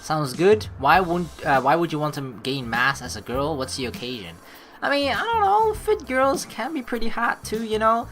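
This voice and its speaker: Female let's play host, low-ish bright voice, swings from reading aloud to rambling jokey commentary, faint music behind. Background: game music.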